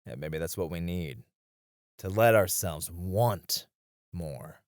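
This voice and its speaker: A man playing a battle-weary knight in a romantic ASMR roleplay, speaking softly and intimately with tender, wistful devotion to a comrade. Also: deep voice, lively intonation, slow pace, no room sound, studio quality. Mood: tender, musing. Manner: soft, intimate, hesitant with small chuckles.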